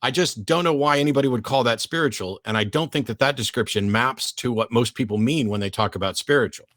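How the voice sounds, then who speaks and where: A man's voice: mid-range voice, fast, a bit bright, no echo, clean studio sound. A male host arguing with a caller, firm and insistent. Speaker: talk show host. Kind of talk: call-in debate.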